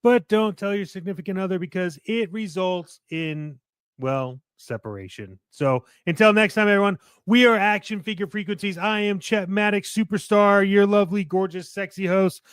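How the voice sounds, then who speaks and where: A man's voice: high voice, moderate pace, clean tone, dry recording, studio quality. A man hosting a podcast with theatrical, playfully self-promoting banter. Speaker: podcast host.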